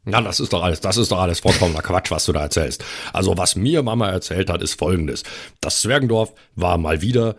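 A man's voice: deep voice, a little fast, husky. A man on a podcast talking conversationally and improvising. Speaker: podcast cast member. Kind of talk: improvised in-character storytelling.

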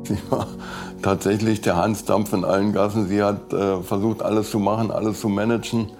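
A man's voice: on the low side, flat delivery, normal speed, a little mellow. A man giving a spontaneous documentary interview about someone he knew. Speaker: interviewee, acquaintance of victim. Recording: faint music under interview.

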